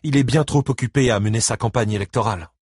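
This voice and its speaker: A man's voice: medium pitch, fast talker, a little mellow, husky, no room sound, studio quality, pitched above the performer's own voice, natural voice. A man voicing a concerned, exasperated ex-wife and grandmother, speaking emotionally in an audiobook novel. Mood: sardonic, lively. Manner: exclaiming, emotional.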